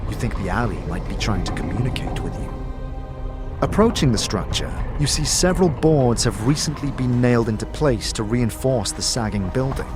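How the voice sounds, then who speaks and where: A man's voice: mid-range voice, lively intonation, normal speed, music in the background, close to an everyday voice. A man narrating a fantasy adventure as a storyteller, in scripted dramatic narration. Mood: suspenseful.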